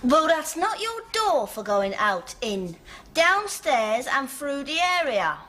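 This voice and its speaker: A cheeky young housemaid. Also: female voice, high voice, sing-song, slow, bright, forceful tone, heavily acted. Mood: bossy. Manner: saucy, pert, ungrammatical. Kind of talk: 1970s television period drama.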